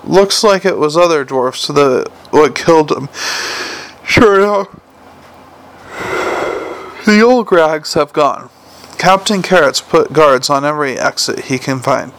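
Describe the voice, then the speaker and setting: Male reader as troll sergeant: big, earnest, slow, dialect-heavy, gravelly. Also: fairly high pitch, fairly bright tone, slight background noise, low-quality recording. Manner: slow, patient talk with thick "der" dialect.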